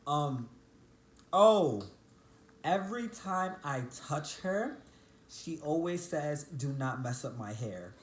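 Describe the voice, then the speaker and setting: A man's voice: lively intonation, slow, reverberant, slight background noise. A man in his twenties chatting casually with his partner on a couple's vlog, playful and joking. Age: 20s. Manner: playful, jumps high when mimicking his partner. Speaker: couple vlogger. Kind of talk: casual chat.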